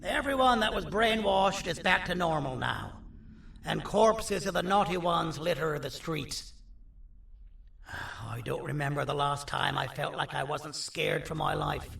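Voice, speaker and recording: A man's voice: a little high, a little slow, gravelly, exaggerated voice. A man playing an earnest, scheming monster doctor in a video game, talking persuasively and dramatically. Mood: hushed, wary. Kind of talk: video game character acting. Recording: roomy, distant, under loud scary music.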